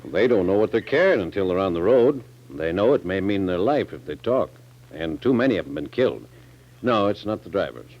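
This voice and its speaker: An actor playing a genial, plainspoken older sheriff with an American accent in a 1940s radio drama. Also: male voice, low voice, sing-song, a little fast, exaggerated voice. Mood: earnest. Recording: dull 1940s radio recording.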